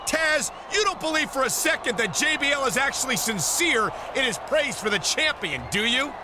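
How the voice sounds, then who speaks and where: A man playing an excited wrestling commentator in a video game. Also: extremely high pitch, moderate pace, noisy background.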